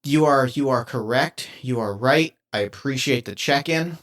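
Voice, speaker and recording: A man's voice: medium pitch, a bit bright, close to an everyday voice. A man voicing an earnest male assassin robot in a tabletop game, speaking formally and carefully with a lot of hesitation. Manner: formal, careful, halting speech.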